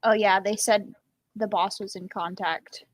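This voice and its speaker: A woman's voice: mid-range voice, steady tone, unhurried, no echo. A woman co-hosting a casual book discussion in a conversational, dryly wry way. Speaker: book podcast co-host.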